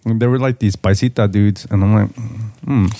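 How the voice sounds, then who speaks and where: A man's voice: on the low side, a little slow, mellow, no echo. A man chats and tells stories casually as a podcast co-host, swearing heavily and dropping in Spanish slang. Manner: slangy, heavy swearing, mixes in Spanish words. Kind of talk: casual chat, storytelling.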